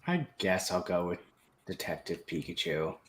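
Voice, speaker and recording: A man's voice: sits low, big pitch swings, normal speed, slight background noise. A man chatting casually as a panelist in a group movie discussion. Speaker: panelist on a movie draft show.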